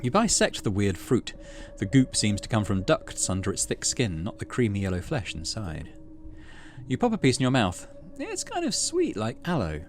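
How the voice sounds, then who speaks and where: A man's voice: fairly low pitch, huge pitch swings, normal speed, faint music behind. A man hosting a gaming video, narrating and musing in a casual, conversational way. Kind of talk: casual playthrough commentary and narration.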